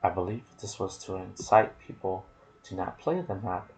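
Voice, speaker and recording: A soft-spoken man narrating video game lore in an ASMR style, with a hushed, deliberate delivery in short phrases. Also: deep voice, steady tone, rough recording. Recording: clear background noise.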